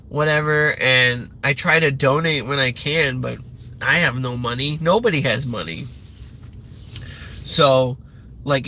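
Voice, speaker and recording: Young male streamer, bright and forceful, loose profane rambling, faint background hum. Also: medium pitch. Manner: loose, profane, meandering talk with many fillers. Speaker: livestream host. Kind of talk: rambling live rant.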